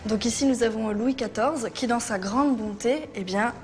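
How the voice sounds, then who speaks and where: A young woman with a fairly high voice guides a visitor around. She explains in a conversational, playful way, like a student showing someone a historic site. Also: moderate pace, soft background music. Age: 20s. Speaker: art history student acting as abbey guide. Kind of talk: explanatory guided-tour chat. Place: inside a large stone abbey, later on its outdoor roof.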